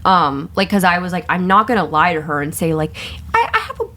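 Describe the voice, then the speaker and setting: Young woman, American, slightly low voice, candid and chatty podcast host who tells stories freely. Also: brisk. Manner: candid, animated storytelling with quoted asides and heavy swearing. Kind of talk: casual podcast chat.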